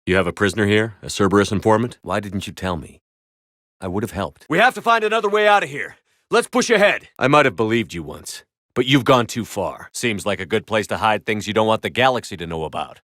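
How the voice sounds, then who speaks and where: Low-voiced, commanding soldier hero. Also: male voice, animated, a little fast, a bit bright, no room sound, clean studio sound. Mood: calm, sincere, then urgent, commanding. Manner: firm, terse, authoritative delivery. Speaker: video game voice actor. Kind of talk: video game character dialogue.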